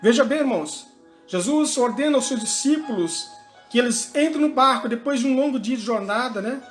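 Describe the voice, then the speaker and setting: A man's voice: very high-pitched, animated, moderate pace, faint music behind. A male pastor preaching a sermon in a church, often asking and answering his own questions and tagging lines with "né?" and "amém?". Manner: peppers talk with "né?" and "amém?", asks and answers.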